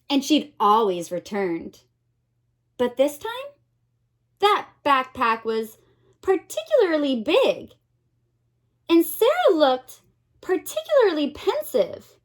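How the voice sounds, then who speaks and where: A woman reads a children's story as a teacher would, in a high voice that moves up and down a great deal. She takes it very slowly, with dramatic pauses. Now and then she turns to her young listeners with an expressive aside. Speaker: teacher reading a picture book to children.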